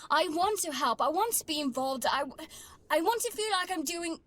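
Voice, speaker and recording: An actress playing an earnest, frustrated daughter in an audio drama, wanting to be included. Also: female voice, extremely high pitch, animated, moderate pace. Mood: frustrated, hurt.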